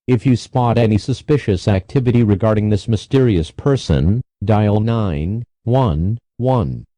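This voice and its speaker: A man with a low, mellow voice delivers scripted emergency alerts as an announcer. His delivery is formal and impersonal, with a synthetic ring to it. The sound is very dry, with no trace of room around the words. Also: dry recording. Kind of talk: scripted emergency broadcast narration.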